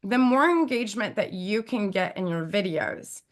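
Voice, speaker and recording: A woman with a raspy, squeaky voice, chatting casually as an interview guest and giving advice with lots of fillers and emphatic repeats. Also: expressive, normal speed. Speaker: guest YouTube expert.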